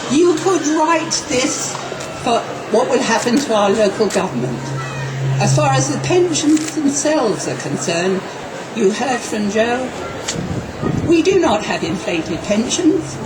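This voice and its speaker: A woman, giving a slow, measured, impassioned speech at an outdoor protest rally. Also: a little high, sing-song, over loud music. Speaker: rally speaker. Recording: distant echoey rally recording with noise.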